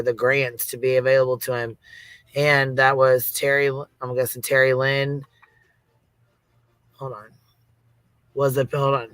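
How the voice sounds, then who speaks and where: Bright, flat-toned host, blunt and sweary. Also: male voice, mid-range voice, smooth, no room sound, rough recording. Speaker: host of an open-panel livestream. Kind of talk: casual livestream chat.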